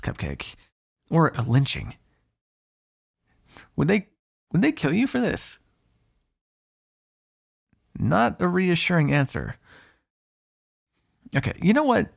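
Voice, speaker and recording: A man voices a snarky male vampire in an ASMR roleplay monologue. His mid-pitched voice has plenty of gravelly fry and swings animatedly as he grumbles. He comes across as sardonic and exasperated, talking as if to one companion. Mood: incredulous.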